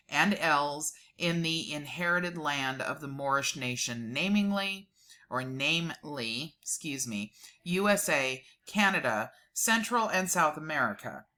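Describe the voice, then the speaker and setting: Female host, deep register, crisp bright tone, dramatic document reading broken by informal commentary. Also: unhurried.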